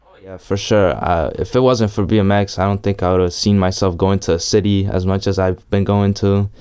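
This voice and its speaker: A man's voice: low-pitched, level tone, a little fast, darkish tone, clean tone. A young man from El Salvador who lives in New York, chatting casually as an interviewee in an easygoing, slangy, hedging way. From El Salvador, long resident in New York. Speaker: interviewee, amateur BMX rider. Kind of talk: casual chat.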